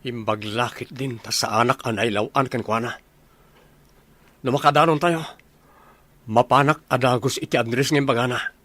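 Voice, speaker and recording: A man's voice: mid-range voice, fairly even intonation, moderate pace, long pauses, a bit bright, dry recording, lightly acted. A man playing a concerned adult son returning home to his mother in a radio drama. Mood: puzzled. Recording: vehicle noise behind voice.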